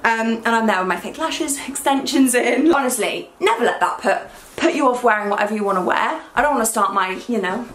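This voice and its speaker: A young woman with a croaky, hungover voice, chatting excitedly in a casual try-on haul vlog. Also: fairly high pitch, a little fast, slight background noise. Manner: excitable and gushing. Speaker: fashion vlogger. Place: small bedroom, indoors. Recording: echoey room.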